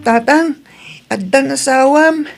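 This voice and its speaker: A woman's voice: sits high, slow, gravelly, soft background music, heavily acted. A woman playing a sweet, polite young boy in an audio drama, with a Filipino accent and a childlike delivery. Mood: sweet, approving.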